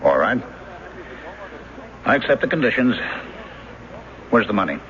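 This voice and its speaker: An actor playing a blunt, skeptical police lieutenant in a radio drama, terse and probing. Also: male voice, lowish voice, big pitch swings, unhurried, fairly bright tone. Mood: probing, businesslike. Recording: dull noisy vintage radio drama recording.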